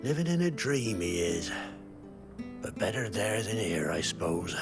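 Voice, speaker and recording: A man's voice: lowish voice, very animated, unhurried, gravelly, music in the background, exaggerated voice. A man playing a chatty, wry fantasy villager in a video game, speaking colloquially with a British accent. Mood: wry, amused. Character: folksy, chatty middle-aged village man in fantasy realm. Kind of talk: video game cutscene acting.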